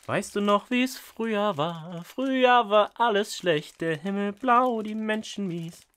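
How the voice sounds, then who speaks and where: A man's voice: high-pitched, unhurried, fairly bright tone, clear, resonant tone. A man hosting a casual unboxing vlog indoors, chatty and jokey, thinking aloud with fillers as he goes. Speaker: fishing channel host. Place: indoors at a table. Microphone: off-camera microphone, top-down camera.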